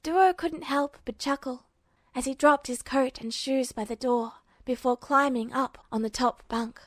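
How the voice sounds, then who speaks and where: A woman's voice: high voice, steady tone, measured pace, dry recording, clean studio sound. A woman reading scripted fiction as a narrator.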